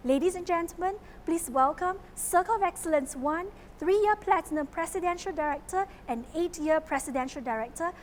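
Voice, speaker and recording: A woman hosting an event, reading scripted introductions in a loud, upbeat way. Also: extremely high pitch, moderate pace, hardly any pauses, slight background noise. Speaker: event host.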